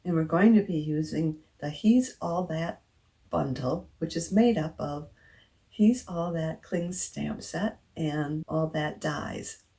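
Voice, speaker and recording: Woman craft demonstrator, somewhat low voice with a slight scratchiness from a cold; patient, walks through each step. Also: slow, dark tone, husky.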